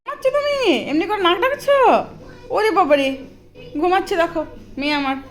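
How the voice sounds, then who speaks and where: A woman's voice: very high voice, very animated, a little fast, faint music behind. A young adult woman, chatting casually and informally as she narrates a home vlog. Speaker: family vlogger, mother of a small child. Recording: noisy home recording with background ambience.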